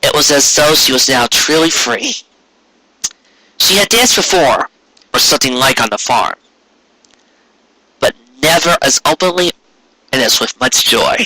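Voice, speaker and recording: A man reading a story aloud as an expressive narrator. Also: on the high side, unhurried, long pauses, no echo, poor audio. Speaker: narrator, fan fiction reader.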